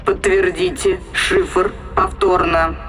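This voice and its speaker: A very slow ship computer. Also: medium pitch, little pitch movement, fairly bright tone. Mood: formal, obedient. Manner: slow, formal, obedient. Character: ship's head computer, slow obedient synthetic voice. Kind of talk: audio drama acting.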